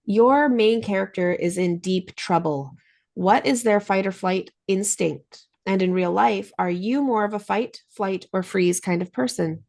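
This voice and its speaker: A woman's voice: medium pitch, unhurried, vocal fry, darkish tone. A woman hosting an interview, speaking in an articulate, encouraging way as she asks questions and comments. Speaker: interviewer, host of a book show.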